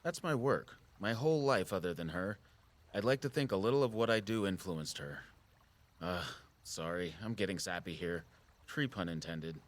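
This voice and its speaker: An actor playing a gentle, sentimental middle-aged father and conservationist in an audio drama, talking in a thoughtful, considerate way. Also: low voice, lively intonation, normal speed. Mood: tender, sheepish. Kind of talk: naturalistic audio drama acting.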